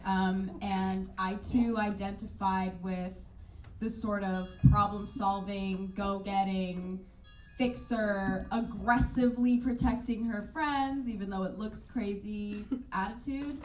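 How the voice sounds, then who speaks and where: A woman's voice: mid-range voice, fairly even intonation, takes it slowly, a little mellow. An actress answers casually and laughs freely, full of life. Her voice is roomy and distant, with faint noise behind it. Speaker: actor on a panel. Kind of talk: panel Q&A answers.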